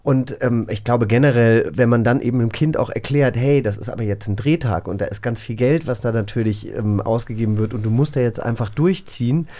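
A man telling work anecdotes in an informal podcast chat, often pausing and acting out short quotes. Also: medium pitch, warm tone. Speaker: host, actor. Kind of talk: casual chat, personal anecdotes.

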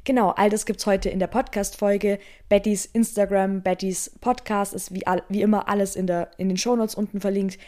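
A woman's voice: medium pitch, fairly even intonation, normal speed, few pauses, darkish tone. A young woman hosting a podcast in an upbeat, chatty way, dropping in English words. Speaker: podcast host. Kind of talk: casual podcast interview.

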